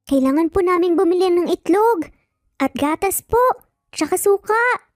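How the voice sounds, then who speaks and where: Woman voicing an eager, very high-pitched little kid. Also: lively intonation, moderate pace, a little mellow, dry recording, clean studio sound, faint music behind. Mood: eager, playful. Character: polite, eager, scheming six-year-old triplet child. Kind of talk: acted dialogue in a narrated story.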